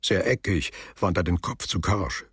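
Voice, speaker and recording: A man narrating a literary radio drama as a sober, detached storyteller, with a deep and very husky voice. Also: moderate pace, a bit bright, no echo, studio quality, heavily acted.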